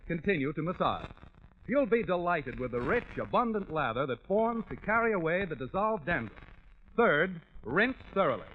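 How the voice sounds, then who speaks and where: A man's voice: high voice, slight background noise. A male radio announcer reading scripted commercials in 1940s style, with emphatic, polished salesman's enunciation. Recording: tinny, narrow-band 1940s radio recording.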